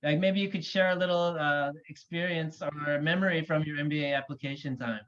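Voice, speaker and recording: A man with a fairly high-pitched voice hosts a conversational interview. He is recorded over a video call, with dull, lo-fi sound. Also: fairly even intonation, fairly quick, a bit bright. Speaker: interview host, admissions consultant. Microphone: video call microphone.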